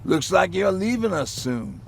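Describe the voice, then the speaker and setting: Very gravelly, wary male survivor. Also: high voice, sing-song, measured pace, a little hiss or hum, heavily acted. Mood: wry, wary. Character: wary, easygoing male civilian mechanic survivor. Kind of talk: video game dramatic acting.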